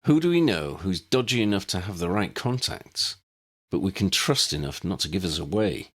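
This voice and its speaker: A man's voice: low voice, very animated, moderate pace, a bit bright, exaggerated voice. A man voicing a decisive, earnest male wizard hero with a direct, urgent manner in a storybook.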